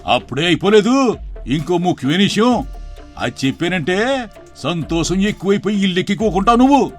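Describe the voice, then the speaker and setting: A man playing a higher-voiced scheming village elder brother. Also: expressive, fairly quick, soft background music. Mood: gleeful scheming. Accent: Telugu rural dialect. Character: scheming greedy middle-aged village man, smooth-talking elder brother. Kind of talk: moral story voice acting.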